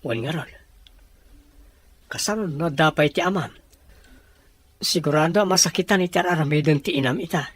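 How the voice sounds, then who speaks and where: A man's voice: a little high, normal speed, pauses often, slightly rough, no echo. A man with a Filipino accent acting a worried, lovestruck young son in an amateur audio drama. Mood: earnest. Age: young adult.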